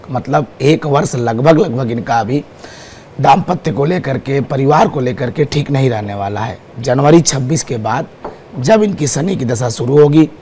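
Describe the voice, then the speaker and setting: A man's voice: medium pitch, darkish tone, a little hiss or hum. A man appearing as an astrologer guest on a TV show, speaking through a sore throat as he steadily lists times and dates. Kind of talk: explaining astrology on TV. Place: TV studio.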